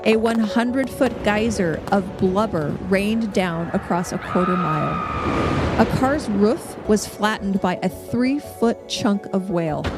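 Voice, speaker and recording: An American woman reading a scripted persuasive speech as a podcast guest, playful and tongue-in-cheek in a mock-grand delivery. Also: medium pitch. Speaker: podcast guest making a debate case. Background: music under the voice.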